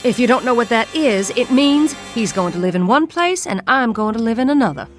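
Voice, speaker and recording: A woman's voice: a little high, animated, fairly quick, soft background music, natural voice. A woman playing a cold, embittered mother in a classic television drama, speaking in a curt, scolding manner. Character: cold, stern, embittered mother of two children.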